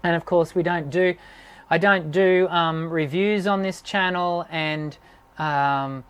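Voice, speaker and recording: A woman's voice: on the low side, steady tone, slow, clean tone. A woman, a vocal coach answering viewer questions on a live stream in a meandering way, often correcting herself mid-sentence. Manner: meandering, thinks aloud, self-correcting.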